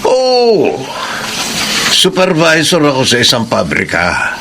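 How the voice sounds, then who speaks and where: An actor playing a kind middle-aged male factory supervisor in a radio drama, calm and reassuring. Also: a little high, takes it slowly, vocal fry, background noise, exaggerated voice. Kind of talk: classic radio drama acting.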